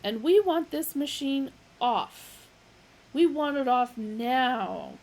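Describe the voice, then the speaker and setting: A blustering older male philosopher in a storybook, put on by a woman reader. Loud, hectoring and self-important, high-pitched with a gravelly edge. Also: animated, slow, exaggerated voice, poor audio.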